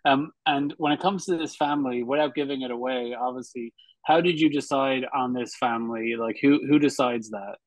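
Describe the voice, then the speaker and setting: A man's voice: mid-range voice, moderate pace, no room sound, low-quality recording. A man in his forties hosting a conversational interview over a video call, chatty and affirming. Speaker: show host, interviewer. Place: room at home, video call.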